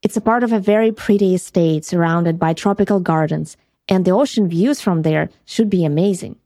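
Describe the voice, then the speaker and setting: A woman's voice: lowish voice, fairly quick, warm tone, dry recording, studio quality. A woman from Ukraine with a Ukrainian accent, hosting a podcast and earnestly telling a first-person travel story. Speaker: podcast host.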